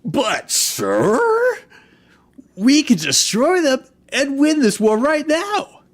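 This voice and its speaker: A man voicing an eager, uneasy general who pleads deferentially with his dark lord in a comic script reading. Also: very high voice, sing-song, takes it slowly, pitched above the performer's own voice, full character voice.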